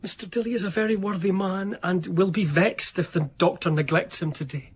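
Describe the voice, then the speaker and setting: An actor playing an eager, ingratiating gentleman, courteous and wordy, in a period radio drama. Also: high-pitched, steady tone, fairly quick, slightly rough. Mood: pleading. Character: eager, ingratiating Scottish gentleman, self-satisfied narrator.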